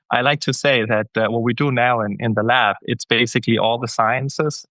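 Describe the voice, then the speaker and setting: A man talks through his answers in a bright, forceful voice, often stopping to restart and repeat his words. The sound is close and dry, with no room around it. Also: mid-range voice, steady tone, moderate pace, no room sound, poor audio. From Denmark. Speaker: guest scientist. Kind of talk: conversational interview answers.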